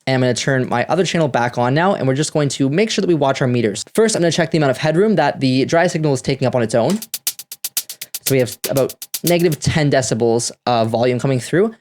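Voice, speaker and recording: A man's voice: medium pitch, animated, quick pace, a little mellow. A man hosting a music production tutorial, talking through the steps in a casual, instructional way.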